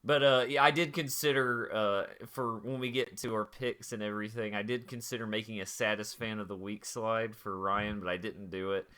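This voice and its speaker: A man's voice: lowish voice, fairly even intonation, sharp tone, smooth. A young man co-hosting a sports podcast, bantering casually with lots of "like" and "uh". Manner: quick banter, frequent "like" and "uh". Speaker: podcast co-host.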